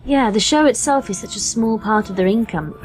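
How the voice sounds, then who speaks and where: A woman's voice: fairly high pitch, brisk, soft background music. A British woman in her thirties talking casually as a podcast guest, explaining things in an articulate, wry way. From United Kingdom. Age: 30s. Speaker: guest, voice actress and high school teacher.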